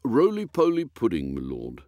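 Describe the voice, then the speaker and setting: A man voicing a deferential British butler, formal, measured and precise, in an audiobook. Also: on the low side, huge pitch swings, warm tone, no room sound, studio quality, voice pushed up. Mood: solemnly precise. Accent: British English. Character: stately, deferential male English butler.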